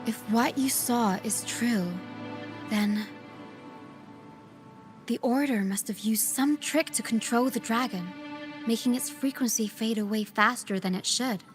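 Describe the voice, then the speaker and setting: A serious young female adventurer from a video game voiced by an actress speaks with earnest, deliberate composure. Her voice is fairly high, and music plays clearly underneath. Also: natural voice. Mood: pensive. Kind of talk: scripted video game story dialogue.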